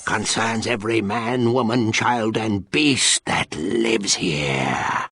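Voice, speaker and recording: An actor playing a theatrical old mystic sage in a fantasy video game, raspy and given to drawn-out, cryptic pronouncements. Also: male voice, on the low side, a bit bright, no echo, studio quality, full character voice. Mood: ominous, cryptic. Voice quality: gravelly, raspy.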